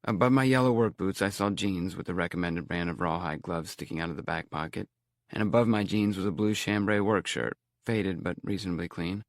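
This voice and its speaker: Low gravelly male audiobook narrator. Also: no room sound, clean studio sound.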